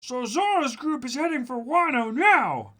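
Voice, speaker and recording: A man voicing a loud, excitable, indignant young male pirate hero in a dramatic manga reading. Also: very high-pitched, measured pace, pitched above the performer's own voice, heavily acted. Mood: eager.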